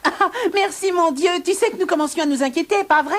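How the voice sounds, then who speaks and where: A woman voicing an anxious, excitable cartoon character who exclaims often, in a dubbed cartoon. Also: extremely high pitch, fairly quick. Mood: worried. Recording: music playing behind.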